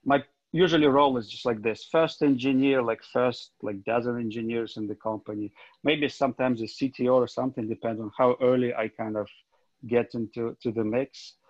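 A man's voice: mid-range voice, fairly even intonation, fairly quick, no echo. A man from Ukraine introducing himself casually on a video call, loose and full of fillers. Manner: loose, filler-heavy, self-deprecating. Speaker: tech coordinator. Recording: dull dry call audio.

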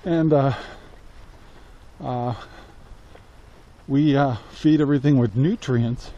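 A man's voice: medium pitch, slow, mellow, highs cut off. Easygoing older man vlogging outdoors. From United States. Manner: easygoing, rambling with many "uh" fillers. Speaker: homesteading vlogger. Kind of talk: casual how-to vlog. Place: outdoors in a yard and inside a greenhouse. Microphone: camera held at arm's length.

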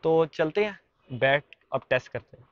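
A man's voice: a little high, animated, normal speed, dull audio, slight background noise. A young man with a Pakistani Urdu accent, chattily guiding viewers through a sports market and shop. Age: young adult. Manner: chatty guide, frequent fillers and restarts. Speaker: vlogger. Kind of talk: walking market tour vlog.

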